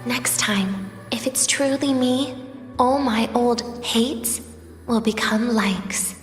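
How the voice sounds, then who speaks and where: An actress playing a wistful young student in a game drama, bitter yet tender, speaking softly and lingeringly, at times slipping into a whisper. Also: female voice, on the high side. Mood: tender, gentle. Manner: soft, slow, lingering, at times breaking into whispers. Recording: echoey long reverb with music behind.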